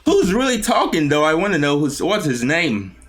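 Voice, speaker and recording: A man's voice: on the high side, sing-song, normal speed, fairly bright tone. A man hosting a reaction video, thinking aloud with curious questions and quips. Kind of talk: reaction commentary.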